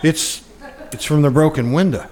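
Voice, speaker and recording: A man's voice: fairly high pitch, normal speed, darkish tone, natural voice. A man acting a frightened, desperate husband in an old-time radio suspense play, urgent and melodramatic, recorded at a live show. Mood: threatening, determined. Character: frightened, desperate young husband stranded on a dark road. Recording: live stage performance with background noise.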